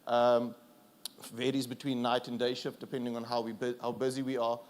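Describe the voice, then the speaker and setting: A South African man whose first language is Afrikaans, giving a relaxed, self-deprecating conference presentation with fillers and restarts. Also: mid-range voice, fairly quick. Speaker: conference speaker.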